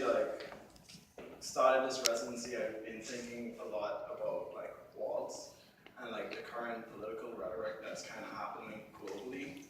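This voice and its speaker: A man from Haiti and the Bahamas talks informally as an artist about his work. He thinks out loud in filler-laden phrases. The recording is very reverberant and distant, with clear noise behind him. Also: lowish voice. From born in Haiti, grew up in the Bahamas. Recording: echoey room, distant mic, clear background noise.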